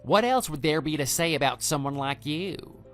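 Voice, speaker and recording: Actor as a smug love rival with swooping pitch. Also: male voice, animated, normal speed, faint music behind, lightly acted. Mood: scornful, dismissive. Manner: smug, condescending, dismissive. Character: smug, brazen male lover taunting a betrayed husband. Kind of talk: scripted texting-story radio drama.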